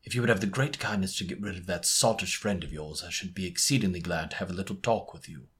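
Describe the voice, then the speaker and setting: A man voicing a sharp middle-aged detective who speaks with quiet, clipped authority. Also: low voice, quick pace, husky, exaggerated voice. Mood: quiet command.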